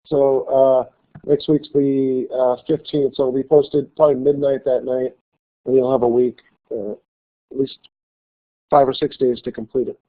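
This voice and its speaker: A male college instructor giving a classroom lecture with a slight cold, talking haltingly with many fillers and restarts. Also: mid-range voice, flat delivery. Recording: tinny phone-like lecture recording.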